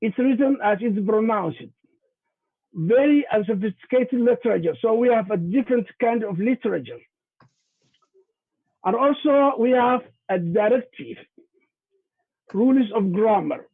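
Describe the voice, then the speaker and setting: High-voiced Somali-accented man on a call. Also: vocal fry, slightly rough. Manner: halting, repeats words, many fillers and restarts. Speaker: presenter on Somali language. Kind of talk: lecture over video call. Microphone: video call. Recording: tinny phone-like video call audio.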